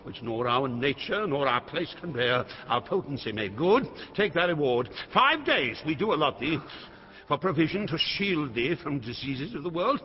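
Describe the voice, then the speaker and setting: A man's voice: fairly high pitch, big pitch swings, moderate pace. An actor playing a proud, rash old king who declaims grandly in classical Shakespearean stage style. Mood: furious, commanding. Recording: muffled, long hall reverb.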